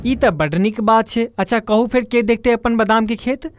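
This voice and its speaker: A woman's voice: on the high side, normal speed, no echo, studio quality, lightly acted. A woman voicing a worried grown son and husband in a cartoon, questioning and protesting, with a Maithili accent. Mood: doubtful. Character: worried, reasoning adult son and farmer husband. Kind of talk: Indian cartoon dialogue.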